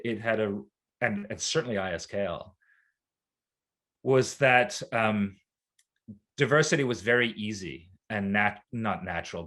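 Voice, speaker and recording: A man's voice: fairly low pitch, fairly bright tone. A man who grew up abroad, talking casually to students over a video call, rambling and correcting himself with frequent fillers. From grew up in Malaysia and Libya. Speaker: alumnus guest speaker.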